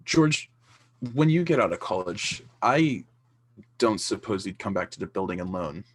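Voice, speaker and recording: A man's voice: deep voice, expressive, moderate pace, husky. A man playing a tired, kindly, principled middle-aged father in a radio play. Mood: weary, gentle. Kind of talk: 1940s-style radio play acting.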